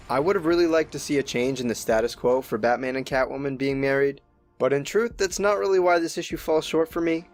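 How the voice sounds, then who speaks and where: A man giving a scripted, opinionated review as a show host, with conversational asides. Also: mid-range voice. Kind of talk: scripted narration, review commentary. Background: music.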